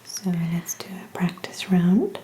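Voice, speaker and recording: Casting: woman as calm ASMR ear-exam clinician, whispery and mellow, very unhurried, gentle and reassuring. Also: fairly low pitch. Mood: gentle, soothing. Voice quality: breathy, whispery. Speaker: ASMR performer. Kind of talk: soft-spoken ASMR roleplay.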